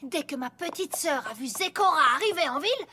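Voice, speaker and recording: A woman's voice: high-pitched, expressive, fairly quick. A woman playing a bossy, protective female farm pony, a stubborn and suspicious big sister in a cartoon. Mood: scolding, protective. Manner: firm, scolding, forceful. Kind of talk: animated cartoon dialogue dub.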